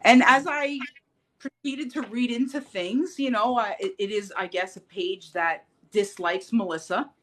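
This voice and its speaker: Animated woman telling a story. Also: medium pitch, unhurried. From Puerto Rican heritage. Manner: animated storyteller, acts out quotes, laughs often. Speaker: podcast guest. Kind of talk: casual interview chat.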